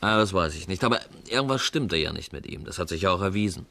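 An actor playing an inquisitive, restless newspaper reporter, casual and probing, in a 1950s German radio play. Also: male voice, sits low, expressive, fast, creaky, bright, forceful tone, full character voice.

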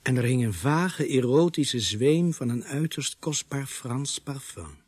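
An actor playing a policeman who narrates his investigation in a radio play, in measured storytelling with a husky voice. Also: mid-range voice, animated, darkish tone, dry recording. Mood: measured, descriptive. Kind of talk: scripted radio drama narration.

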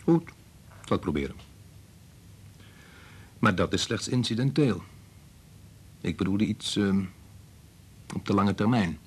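Stern, impatient father, low husky voice. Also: expressive, takes it slowly, pauses often, heavily acted. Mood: tense, arguing. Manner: exasperated, lecturing. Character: impatient, short-tempered scientist father, stern and critical. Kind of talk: Dutch radio play acting.

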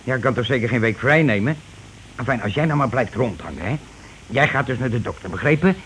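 An actor playing a coarse, cocksure scheming husband in a radio play, talking insistently and impatiently in colloquial speech. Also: male voice, mid-range voice, lively intonation, quick pace, a bit bright, natural voice. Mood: scheming, bossy. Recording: noisy old radio drama recording.

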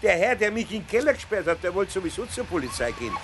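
An actor playing a good-natured, fretting older craftsman with a husky voice, a Bavarian accent and a homely, grumbling manner in a children's radio play. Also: high-pitched, big pitch swings, fast, noisy background. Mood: composed, dry.

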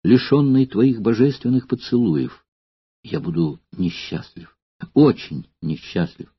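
An anguished gladiator pleads with his beloved in a low, husky, trembling voice that is close to tears, as a male reader acts him out. The sound is dull and dry, with little room around it. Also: sing-song, unhurried, no echo, full character voice. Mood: anguished pleading.

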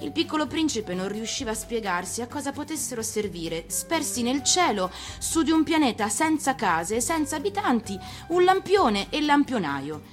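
Woman storyteller: scripted fairy-tale narration, animated intonation, mid-pitched actor's voice, background music. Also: normal speed, few pauses.

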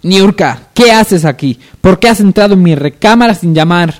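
A man's voice: sits high, expressive, moderate pace, pitched above the performer's own voice. A man playing a flustered, apologetic master of the house in a parody radio soap opera. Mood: startled.

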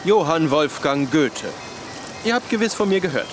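An actor playing a vain, melodramatic young eighteenth-century poet in a radio drama, with pompous, florid, old-fashioned diction. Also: male voice, fairly high pitch, expressive, moderate pace, music in the background, natural voice. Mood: affronted pride. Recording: loud outdoor ambience, running water behind voice.

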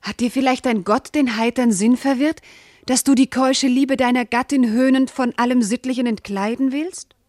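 An actress playing a dignified, wounded but steadfast noble wife in a classical verse radio drama, with elevated, earnest declamation. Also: female voice, high voice, steady tone, moderate pace, darkish tone, dry recording, close to an everyday voice. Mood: hurt indignation.

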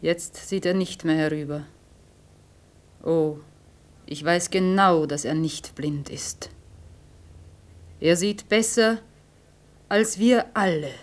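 An actress in a German radio play playing a fearful, watchful neighbour woman, husky and often close to a whisper. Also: on the low side, slow, long pauses. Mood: uneasy.

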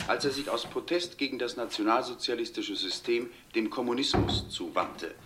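A man playing a sober television newsreader in a 1970s radio drama, formal and measured, with a standard German accent from Germany. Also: medium pitch, fairly bright tone, slight background noise, close to an everyday voice. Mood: sober, official.

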